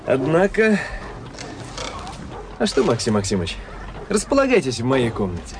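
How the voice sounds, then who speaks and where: An actor playing a cultured gentleman traveler, giving measured, literary narration and dialogue in a radio play. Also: mid-range voice, huge pitch swings, noisy background. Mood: friendly, courteous. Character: cultured young gentleman officer, traveling writer telling his story.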